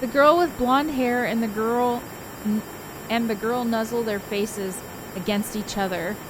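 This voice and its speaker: A woman's voice: on the high side, measured pace, background noise. A woman streaming a visual novel, reading it aloud in a casual voice and chatting with informal, giggly asides. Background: game soundtrack music.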